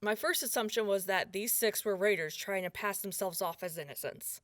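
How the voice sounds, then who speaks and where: An actress playing a blunt, suspicious sheriff, giving firm, plain-spoken testimony in an audio drama. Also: female voice, medium pitch, moderate pace, no echo, studio quality, close to an everyday voice. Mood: wary, firm. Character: blunt, suspicious woman sheriff of a wasteland town.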